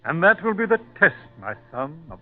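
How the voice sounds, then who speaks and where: A man's voice: fairly high pitch, sing-song, normal speed. Stern father on tinny old radio. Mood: solemn, admonishing. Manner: solemn, admonishing. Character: stern older father lecturing his son, remembered in a dream. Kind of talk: old-time radio drama acting. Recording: tinny old radio recording with faint music.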